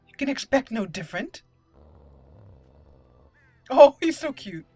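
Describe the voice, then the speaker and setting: A woman's voice: high voice, big pitch swings, slow, darkish tone, slightly rough, no echo, soft background music. A woman hosting a roleplay let's play, switching between casual commentary and reading character dialogue aloud.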